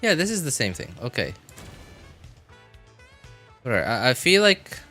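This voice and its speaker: Bright-voiced young-sounding man rambling on stream. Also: mid-range voice, wildly swooping pitch, a little slow, faint music behind. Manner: rambling, self-deprecating. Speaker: live game streamer. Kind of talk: casual livestream chat while playing a video game.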